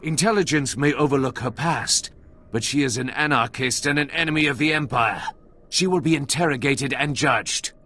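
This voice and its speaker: A man playing a cold, ruthless Sith commander in a video game, with a raspy, gravelly voice and imperious, deliberate delivery. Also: medium pitch, pushed and bright. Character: cold menacing male Sith lord, a ruthless military commander.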